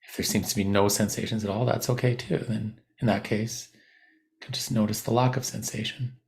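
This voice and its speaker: A man leads a guided meditation in a fairly low voice with plenty of creak. His delivery is gentle and unhurried, giving calm instructions and leaving long pauses between phrases. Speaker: meditation teacher. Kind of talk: guided body scan meditation.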